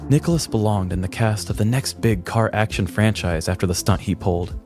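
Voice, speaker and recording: A man's voice: on the low side, a little fast. A man in his thirties narrating a scripted comic crime story in a mock-dramatic storytelling manner. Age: 30s. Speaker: podcast co-host and narrator. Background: music bed.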